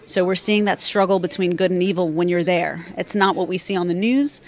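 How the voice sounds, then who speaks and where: Measured woman author, low-pitched voice. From Afghanistan, raised in Virginia, USA. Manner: measured, thoughtful, with frequent um and uh fillers. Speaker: author and former journalist. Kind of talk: interview answers.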